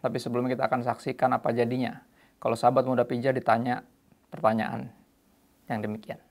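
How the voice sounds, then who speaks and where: Gravelly-voiced male church show host. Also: mid-range voice, fast. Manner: relaxed slangy delivery, quoting scripture. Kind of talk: casual preaching talk.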